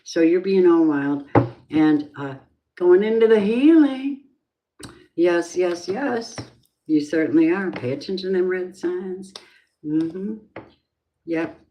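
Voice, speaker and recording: A middle-aged woman with a low voice rambles slowly through a casual live tarot reading. Her thoughts wander off topic as she talks. Faint noise sits behind her voice. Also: lo-fi sound. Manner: chatty, rambling, drifting off topic. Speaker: tarot reader.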